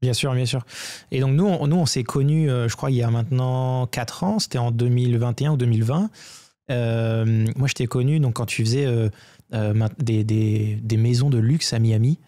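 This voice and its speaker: A man's voice: medium pitch, moderate pace, mellow. A man hosting a podcast, asking questions in a casual, conversational way. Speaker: podcast host.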